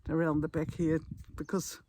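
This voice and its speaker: A man's voice: fairly high pitch, monotone, normal speed, warm tone. A man from New Zealand chatting casually to camera as a travel vlogger on a walk, musing aloud in short phrases. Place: outdoors at a beach. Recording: outdoor noise, faint clicks.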